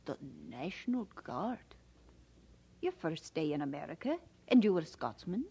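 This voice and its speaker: An actress playing a brisk, outspoken middle-aged Scottish aunt in an audio drama, with a husky voice. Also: female voice, fairly low pitch, very animated, warm tone, exaggerated voice. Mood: incredulous.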